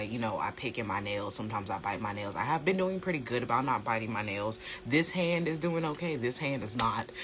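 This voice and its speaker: An American speaker with a slightly hoarse voice, chatting casually and emphatically as a host explaining things in an educational vlog, repeating phrases for stress. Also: medium pitch. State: slightly hoarse from a sore throat. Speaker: host, plant-based lifestyle coach.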